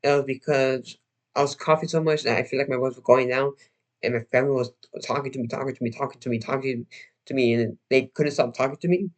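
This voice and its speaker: A man's voice: mid-range voice, fairly even intonation. Young man rambling on a livestream. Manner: rambling, halting, with frequent restarts and fillers. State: losing his voice, slightly hoarse. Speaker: livestream host. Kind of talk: casual livestream chat.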